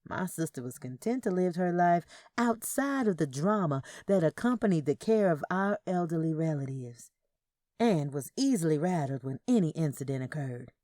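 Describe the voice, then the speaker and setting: Lowish-voiced woman narrating fiction, dry close sound. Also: lively intonation, moderate pace, warm tone, no echo. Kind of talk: first-person dramatic story narration.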